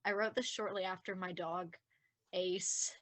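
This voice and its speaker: A woman's voice: on the low side, fairly even intonation, measured pace, fairly bright tone. A young woman chatting casually and self-deprecatingly as a podcast writer in a group discussion. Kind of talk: casual post-show group chat.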